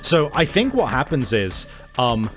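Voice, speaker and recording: A man's voice: mid-range voice, wildly swooping pitch, unhurried. A man with a middle-range voice narrates a tabletop game as its game master. He improvises casually and swears freely, with faint music underneath.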